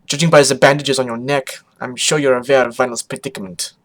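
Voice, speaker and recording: A man's voice: medium pitch, steady tone, fast, fairly bright tone, lightly acted. A man voicing a polite, anxious young pony who speaks hesitantly, in a story reading. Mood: polite, cautious.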